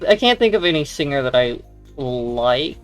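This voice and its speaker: A man co-hosting a gaming channel, chatting casually and joking in a deadpan way. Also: mid-range voice, moderate pace, faint music behind. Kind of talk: casual banter during gameplay.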